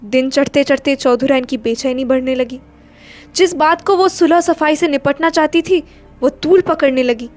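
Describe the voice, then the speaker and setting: A woman with a high voice narrates literary fiction as a dramatic storyteller. Faint music plays behind her reading. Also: normal speed, a little mellow.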